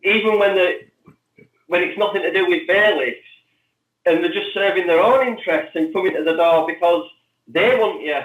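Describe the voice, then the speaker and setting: Male interview guest, slightly high voice, halting repeated words, roomy echo, off-mic. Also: far from the mic, phone-quality sound. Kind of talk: spontaneous interview account.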